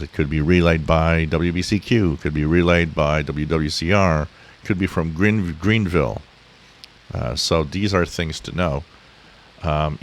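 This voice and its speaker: A man giving an informal, explanatory screen-share tutorial in a halting, rambling, instructive way. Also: low-pitched. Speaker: shortwave radio hobbyist, tutorial host. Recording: steady hiss behind the voice.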